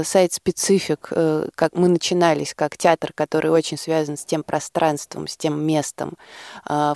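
A woman guest in a radio studio, explaining a theatre project haltingly and correcting herself along the way. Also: fairly low pitch, mellow, no room sound. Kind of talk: explanatory interview talk.

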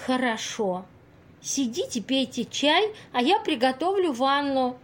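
Woman playing high-voiced, fretful, kind-hearted hostess. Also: very animated, unhurried, heavily acted. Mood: hesitant, solicitous. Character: exasperated, kind-hearted single woman hosting an unwelcome ghostly guest. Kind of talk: amateur audio play acting.

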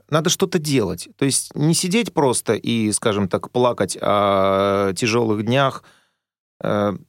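A man's voice: mid-range voice, no echo, clean studio sound. A man as a guest on a radio interview, thinking aloud and searching for words. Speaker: guest musician. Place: radio studio.

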